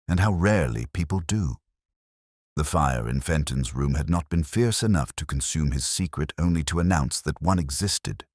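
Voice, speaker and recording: Very deep-voiced brooding detective played by a man. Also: no echo, studio quality. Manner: measured, reflective, solemn. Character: brooding, literary, melancholy male police detective recounting a case. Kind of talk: scripted first-person audio mystery narration.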